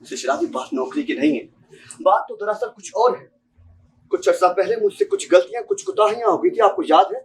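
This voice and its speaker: A man's voice: high-pitched, wildly swooping pitch, a little fast, gravelly, exaggerated voice. Man acting insistent, menacing bank clerk, distant sound. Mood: pleading, insistent. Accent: Pakistani. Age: young adult. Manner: formal, insistent, repeatedly addressing the listener politely. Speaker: student stage actor. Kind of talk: amateur stage drama acting. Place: seminar hall stage. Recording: distant mic in a hall.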